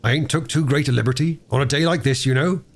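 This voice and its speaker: A man voicing a kindly, rough-spoken railway porter in a storybook reading. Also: mid-range voice, fast, voice pushed up, natural voice. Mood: joyful. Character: kindly working-class railway porter, middle-aged man.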